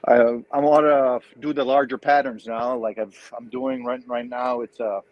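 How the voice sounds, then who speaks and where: A man's voice: medium pitch, little pitch movement, moderate pace. A man from New Brunswick with a French Acadian accent, chatting hesitantly as a guest on a video call from a tablet, with lots of fillers and restarts. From New Brunswick, Canada. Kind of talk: casual chat on a video call. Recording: slightly dull lo-fi audio.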